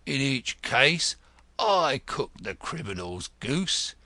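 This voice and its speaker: A man's voice: mid-range voice, sing-song, slow, pushed and bright, no echo, voice pushed up, full character voice. A man voicing a blunt, sardonic retired male detective in an audiobook, with a growling, mocking manner. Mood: amused, mocking. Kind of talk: audiobook character voice.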